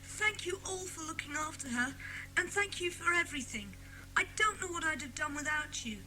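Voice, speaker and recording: A woman playing a bright, high-voiced British boy. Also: expressive, moderate pace, heavily acted, low-quality recording. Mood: grateful, earnest. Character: grateful, earnest young boy in trouble. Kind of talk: dramatised children's audiobook acting.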